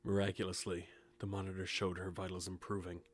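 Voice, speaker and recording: An actor playing a centuries-old grim reaper, narrating an audio drama in a measured, detached, solemn way. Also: male voice, sits low, monotone, natural voice. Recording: music behind the voice. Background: music with guitar.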